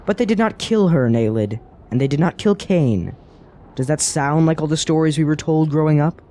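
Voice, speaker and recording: An actor playing a thoughtful, questioning adventurer in a fantasy radio drama, earnest and persuasive, with formal phrasing. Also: male voice, a little high, moderate pace, warm tone, lightly acted.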